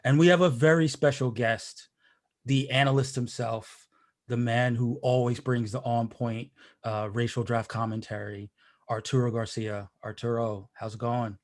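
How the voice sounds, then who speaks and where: A male podcast host who jokes his way through a casual chat, often restarting his sentences as he rambles on. His voice sits at a middling pitch. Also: fairly even intonation, normal speed, a little mellow. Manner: rambling, joking, many restarts.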